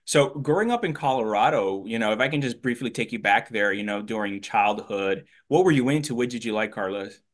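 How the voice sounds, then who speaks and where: A middle-aged man hosting an interview show, asking questions in a relaxed, rambling way with hesitations. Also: on the low side, brisk, hardly any pauses. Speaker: show host. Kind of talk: conversational interview.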